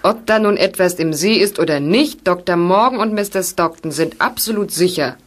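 A woman's voice: on the low side, moderate pace, lightly acted. An actress playing a teasing, cheeky young woman in a German radio play. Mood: teasing, urging. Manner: teasing, playful, pert. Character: teasing, cheeky young female companion of a reporter.

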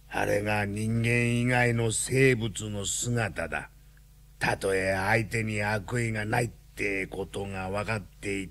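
A man playing a grave, philosophical lion in a radio drama, speaking solemnly and deliberately. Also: fairly low pitch, gravelly, fairly bright tone, heavily acted. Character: grave, philosophical male lion, weary revolutionary comrade.

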